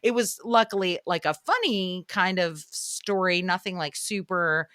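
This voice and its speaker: A woman podcast host chatting casually and lively with a guest, using lots of filler words and laughing along. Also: fairly low pitch, a little slow, no room sound, studio quality. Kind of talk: casual interview chat.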